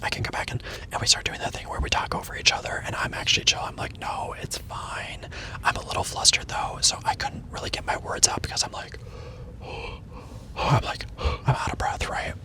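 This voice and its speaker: A man who teaches, telling a casual rambling story in a soft unvoiced whisper from a parked car, with the mic on the dashboard. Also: fast. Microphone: microphone on the dashboard.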